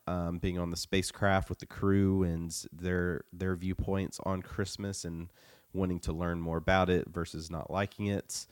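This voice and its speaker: A man's voice: deep voice, clear, resonant tone, no room sound, studio quality. A man giving a casual podcast review while getting over the flu.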